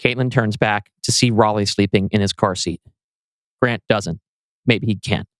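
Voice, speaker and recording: Lowish male narrator, dry and close. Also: fairly even intonation, moderate pace, no room sound. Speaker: narrator reading stage directions. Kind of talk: scripted screenplay narration.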